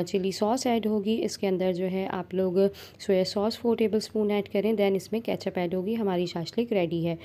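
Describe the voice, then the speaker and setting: Mellow-voiced woman narrating a recipe. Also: medium pitch. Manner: steady step-by-step instructions with frequent fillers. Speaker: cooking channel host. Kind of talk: voice-over recipe demonstration. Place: home kitchen.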